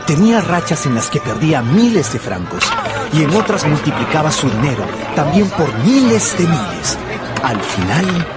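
An actor playing an earnest, lovestruck young man who narrates his own story in an expressive radio drama style. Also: on the high side, moderate pace, loud music behind the voice, over a noisy crowd. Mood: anxious, lovestruck. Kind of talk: dramatised first-person narration.

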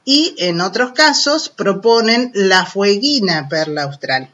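This is an Argentine radio presenter on a children's programme, with a forward, bright voice. The delivery is chatty and explanatory, aimed directly at young listeners, at a middling pitch. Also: expressive, slow, dull audio.

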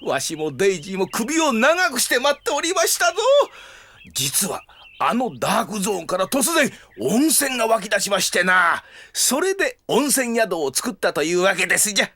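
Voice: medium pitch, sing-song, moderate pace, bright, forceful tone, heavily acted. An actor in a Japanese audio drama playing a polite, genial old man who runs an inn, speaking in an old-fashioned, deferential way. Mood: welcoming, genial. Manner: old-fashioned elderly speech, deferential, welcoming.

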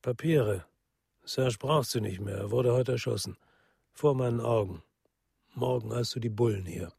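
A man's voice: mid-range voice, moderate pace, gravelly, a little mellow, husky, dry recording, clean studio sound. An actor playing a world-weary male ex-policeman who narrates his own crime story in a sober, laconic way for radio drama. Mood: terse.

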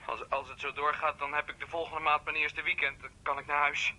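A man's voice: a little high, flat delivery, fairly quick, bright, forceful tone, slight background noise. An actor playing a volatile, jealous young man in a radio play, agitated and stammering. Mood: calmer, hesitant. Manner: agitated, stammering, repeating himself. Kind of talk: naturalistic radio play acting.

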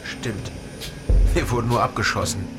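An actor playing a guarded, probing investigator who narrates his own story in a radio crime drama, terse and low-key. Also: moderate pace, music in the background, close to an everyday voice.

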